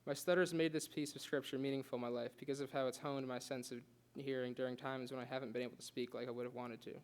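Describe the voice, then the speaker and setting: Young male student, quick delivery, earnest speech with a light stutter. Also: medium pitch, flat delivery. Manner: earnest, with occasional stutters and restarts. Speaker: student giving a class speech. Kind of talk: prepared speech read aloud.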